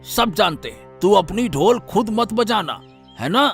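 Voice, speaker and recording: An irritable senior villager played by a man in a cartoon story, always scolding. The voice is high and gravelly, with big swings in pitch. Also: very animated, measured pace, a bit bright, soft background music, full character voice. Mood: exasperated.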